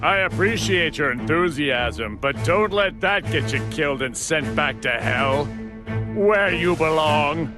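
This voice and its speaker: An actor voicing a condescending, coldly mocking villain with a polite, taunting manner in an English anime game dub. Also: male voice, a little high, lively intonation, measured pace. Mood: cold disdain. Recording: loud music over the voice.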